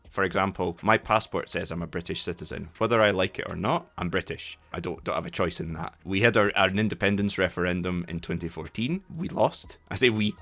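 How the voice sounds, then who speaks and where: A man's voice: deep voice, a bit bright. A Scottish man hosting a solo podcast, explaining things at length with plenty of examples and a few restarts. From Scotland. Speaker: podcast host. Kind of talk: solo educational podcast talk.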